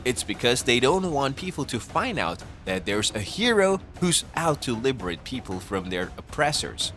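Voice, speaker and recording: A man's voice: medium pitch, very animated, moderate pace, fairly bright tone. A man reading scripted narration for an explainer video, recorded as a voice-over. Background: background music.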